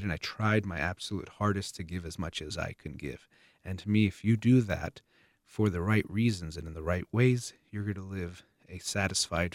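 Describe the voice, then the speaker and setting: A man's voice: low voice, dry recording, clean studio sound. A man hosting a radio advice show, speaking in an earnest, encouraging way and repeating phrases for emphasis. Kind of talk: motivational radio talk. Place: radio studio.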